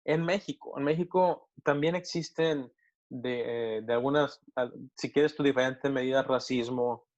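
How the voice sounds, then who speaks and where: A middle-aged man from Mexico, a pastor with a Mexican Spanish accent, answering interview questions thoughtfully and often pausing to restart his sentences. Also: mid-range voice, darkish tone, dull audio. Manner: thoughtful, with many hesitations and restarts.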